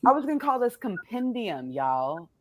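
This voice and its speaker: A woman's voice: a little high, sing-song, moderate pace, a loud crowd. An American woman hosting a casual conversation among poets, talking in an effusive, slangy way with plenty of swearing. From born in Texas, United States. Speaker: host of a poets' table reading.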